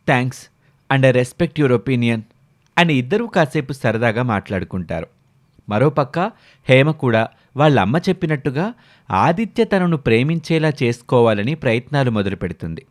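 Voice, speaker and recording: A man narrating a scripted story as the storyteller. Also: mid-range voice.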